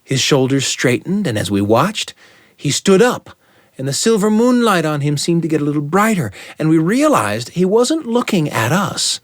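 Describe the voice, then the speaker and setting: A middle-aged man telling a first-person story aloud in a dramatic, suspenseful way, pausing deliberately for effect. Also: fairly high pitch, sing-song, no echo, clean studio sound. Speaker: storyteller and show host.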